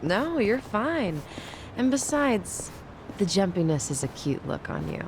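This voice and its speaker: A woman's voice: mid-range voice, very animated, unhurried, background noise. An actress playing a poised, mysterious and alluring woman in a video game dub, self-assured, coy and teasing. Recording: music behind dialogue.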